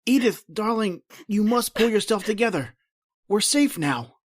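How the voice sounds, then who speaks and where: A man's voice: sits high, lively intonation, a little slow. An actor playing an anxious, earnest young man in a radio horror drama. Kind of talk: old-time radio drama acting.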